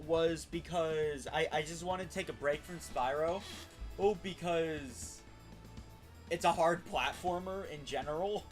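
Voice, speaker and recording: A man's voice: fairly high pitch, measured pace. A man hosting a casual game livestream, often stumbling over and repeating his words. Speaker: game streamer, host. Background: faint game music.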